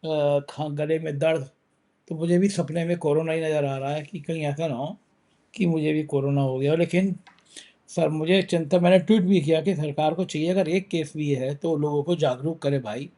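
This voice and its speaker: A man's voice: a little high, fairly even intonation, normal speed, mellow. Man hosting a talk show, sore throat. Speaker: news show host.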